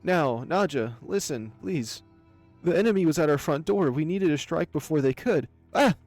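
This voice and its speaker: A man's voice: on the high side, lively intonation, normal speed, darkish tone, faint music behind. Man putting on a stammering, cowardly rooster baron. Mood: pleading. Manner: stammering, pleading. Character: cowardly pleading rooster baron, male, desperate schemer.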